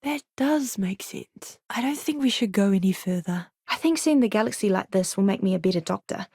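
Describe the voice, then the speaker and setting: A woman's voice: mid-range voice, lively intonation, brisk, a little mellow, breathy edge, dry recording, clean studio sound. A woman voicing an eager, curious young botanist and doctor in a spaceship crew for a video game, with an earnest, polite manner. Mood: friendly, eager.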